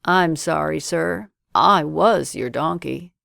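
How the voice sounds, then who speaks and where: A woman voicing a cunning, smooth-talking male thief in a storybook tale, sly and deliberate. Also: low voice, fairly bright tone, no room sound, studio quality, heavily acted. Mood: falsely meek.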